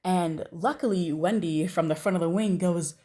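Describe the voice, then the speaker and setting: Young American woman with a lowish voice. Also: moderate pace, dark tone. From United States. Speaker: storyteller, video creator. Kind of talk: casual storytime monologue.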